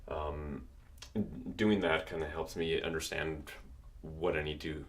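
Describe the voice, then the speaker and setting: A man's voice: extremely low pitch, level tone, takes it slowly. A man streaming his music production at a home studio, chatting casually and thinking aloud while he works, with keyboard typing behind him. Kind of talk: casual livestream commentary.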